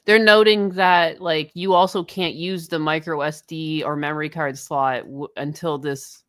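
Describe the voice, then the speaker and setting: A woman's voice: deep voice, steady tone, unhurried, a bit bright, no echo, clean studio sound. A woman chatting casually as a co-host on a livestream. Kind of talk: casual chat.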